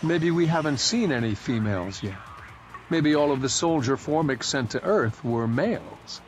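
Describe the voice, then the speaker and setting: A man's voice: mid-range voice, lively intonation, moderate pace, soft background music, pitched above the performer's own voice, natural voice. A man reading aloud voicing a young male soldier who is blunt and dismissive. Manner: dismissive, matter-of-fact.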